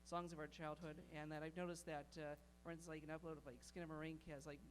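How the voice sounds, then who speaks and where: Man, higher voice, hesitant, lots of fillers, faint hum. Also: level tone, fast. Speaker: audience member asking a question.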